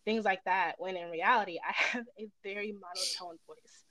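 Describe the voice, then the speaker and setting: A woman's voice: mid-range voice, steady tone, normal speed, bright, forceful tone, rough recording. A woman in a casual personal conversation, speaking candidly and reflectively as she feels her way through her phrasing.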